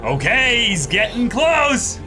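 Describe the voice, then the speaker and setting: A man hosting a casual, excitable reaction show, often repeating his words as he comments. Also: extremely high pitch, slow, music in the background.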